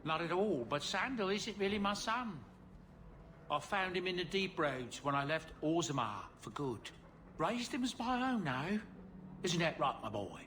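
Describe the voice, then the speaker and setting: Fussy friendly dwarf dad, very lively intonation, chatty game character, faint ambient noise. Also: male voice, on the high side, measured pace, exaggerated voice. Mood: fond, amused. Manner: chatty, affable, animated. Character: genial, fussy middle-aged dwarf father and merchant. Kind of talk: video game voice acting.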